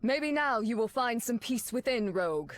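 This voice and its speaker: An actress playing a regal, composed superheroine in a video game, speaking in a formal, measured manner. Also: female voice, a little high, expressive, natural voice.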